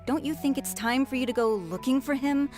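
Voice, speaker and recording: A woman's voice: high voice, brisk, darkish tone, soft background music, lightly acted. An actress playing a proud, lovelorn young woman in a video game, indignant and feigning indifference. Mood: guarded. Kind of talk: video game character dialogue.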